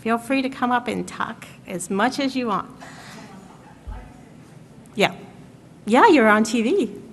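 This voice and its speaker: A woman chairing a school board meeting, running the agenda in a brisk, procedural way. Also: a little high. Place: town meeting room. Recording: reverberant meeting room with faint noise.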